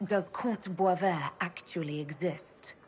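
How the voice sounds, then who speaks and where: An actress playing a composed, decisive leader in a video game, speaking in a dry, direct and skeptical way. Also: female voice, on the low side, slow, darkish tone, a little hiss or hum. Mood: suspicious. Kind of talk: video game cutscene acting.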